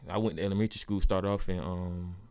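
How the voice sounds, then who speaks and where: A man's voice: low-pitched, fairly even intonation, quick pace, a little mellow, clear, resonant tone, no room sound. A man with an Atlanta accent chatting casually as a podcast guest, in laid-back, slangy talk. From south side of Atlanta, United States. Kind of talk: casual chat.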